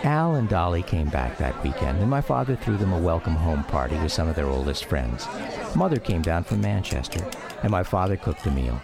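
A man's voice: low-pitched, big pitch swings, a little fast, gravelly, over loud music, a loud crowd, exaggerated voice. A man acting as a radio drama narrator, a grown son wistfully recounting memories of his father in scripted memoir style. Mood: fond, reflective.

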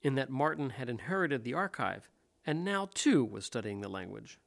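A man hosting a podcast and reading a measured, scripted narration that links the interview clips. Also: mid-range voice, animated, gravelly, a bit bright. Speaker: podcast host.

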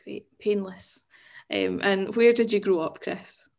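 A woman with a voice of ordinary pitch leads an interview over a video call. She asks her questions politely and keeps them short. She prompts her guest gently between his answers. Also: very animated, measured pace, creaky. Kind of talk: oral history interview.

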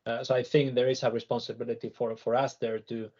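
A man with a husky voice giving his opinion as a panel guest, earnest and repeating words as he thinks. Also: medium pitch, level tone, fast talker, a little mellow, muffled recording. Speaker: panel guest, tech director. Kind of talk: panel discussion, conversational opinion.

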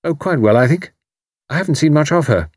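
A man's voice: a little high, expressive, fairly quick, a little mellow, no echo, clean studio sound, voice pushed up. A man voicing a mild, agreeable Englishman in an audiobook, speaking politely and a little diffidently with an English accent. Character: mild, agreeable British man working undercover.

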